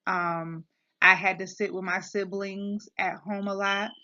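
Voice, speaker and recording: A woman's voice: medium pitch, little pitch movement, a little slow, bright, forceful tone. A woman giving a class presentation, hesitant, with many filler sounds and restarts. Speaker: student presenter. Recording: dull lo-fi recording.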